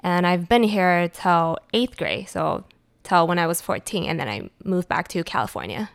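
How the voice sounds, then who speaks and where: A woman's voice: on the low side, expressive, moderate pace, gravelly. A woman, answering interview questions in an easygoing way with hesitant fillers. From United States and Taiwan. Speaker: guest, food blogger and marketing strategist.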